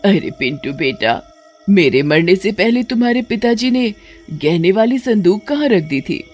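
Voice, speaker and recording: A woman's voice: mid-range voice, sing-song, measured pace, a little mellow, soft background music. Woman voicing a doting, greedy ghost witch mother. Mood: doting, scheming.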